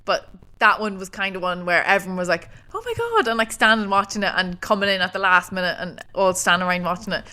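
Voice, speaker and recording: An Irish woman co-hosting a podcast, chatting casually with lots of fillers and quick agreement. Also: mid-range voice, animated, pushed and bright. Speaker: podcast co-host. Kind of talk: casual chat.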